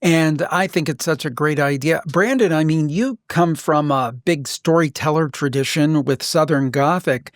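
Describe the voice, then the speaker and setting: A man's voice: a little high, normal speed, few pauses, dry recording, studio quality. A man hosting a podcast, speaking conversationally as an interviewer who shares asides of his own. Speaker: podcast host.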